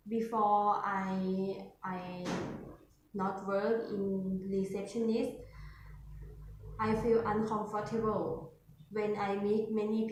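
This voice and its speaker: A woman from northern Thailand with a Thai accent, answering speaking-test questions in halting English with pauses. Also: mid-range voice, slight background noise. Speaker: test candidate. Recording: echoey room, voice from far off.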